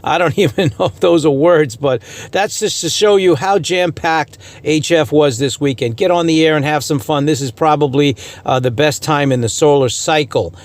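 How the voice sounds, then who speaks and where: A man's voice: on the high side, normal speed, hardly any pauses. A man chatting casually to viewers as an amateur radio vlogger. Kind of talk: casual chat to camera.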